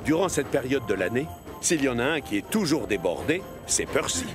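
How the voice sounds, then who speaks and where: A man narrates a scripted children's cartoon story in French. His voice sits at a medium pitch, with music playing clearly behind him. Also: very animated, fairly quick.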